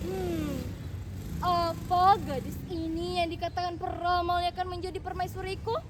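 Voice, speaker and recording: Woman acting an arrogant king, loud and high-pitched. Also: fairly even intonation, moderate pace. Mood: scheming. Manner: haughty, booming, dismissive. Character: arrogant, cunning, cruel king. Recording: noisy, distant microphone.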